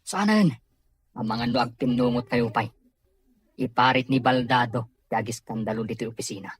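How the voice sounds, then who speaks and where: A man's voice: mid-range voice, moderate pace, husky, close to an everyday voice. A man playing a suspicious, gossiping office worker in an Ilocano radio drama, with a Filipino accent. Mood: irritated, scheming.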